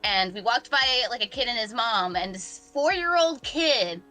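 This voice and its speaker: A woman's voice: a little high, measured pace, poor audio. A woman with a New Jersey accent, chatting as guest host on a morning gaming stream and telling jokey stories. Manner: animated, joking storyteller. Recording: faint music behind.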